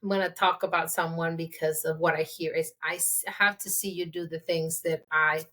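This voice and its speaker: A woman's voice: low voice, steady tone, moderate pace, bright, forceful tone. A woman hosting a casual podcast, asking direct questions in a chatty, candid way. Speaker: podcast host.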